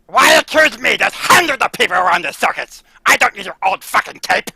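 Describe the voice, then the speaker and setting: A man acting out a jealous has-been circus performer in a 1940s-style radio play, shouting with spite. The voice is bright and gravelly, with lively swings in pitch. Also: brisk, no room sound, heavily acted. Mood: spiteful. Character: jealous fading female circus cat trainer.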